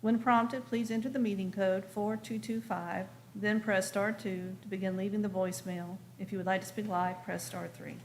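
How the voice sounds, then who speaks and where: Woman running a board meeting, lots of vocal fry, direct and procedural, some room noise. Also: medium pitch, steady tone, moderate pace, hardly any pauses, low-quality recording. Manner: businesslike, direct. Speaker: commission chair. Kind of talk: formal meeting procedure and questioning.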